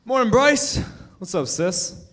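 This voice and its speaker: A young man reads as an arrogant teenage boy who thinks he is king of the school, mocking and cocky. His pitch sits a little high and jumps about with lively swings. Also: slow pace, heavily acted. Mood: smug. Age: young adult. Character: smug, arrogant teen boy class king. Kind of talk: staged reading.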